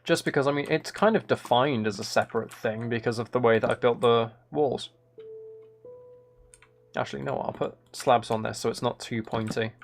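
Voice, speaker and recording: A soft-spoken man chatting casually on a gaming livestream, thinking aloud in a musing, tentative way as he builds. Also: medium pitch, fairly quick, gravelly, soft background music. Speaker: livestream host.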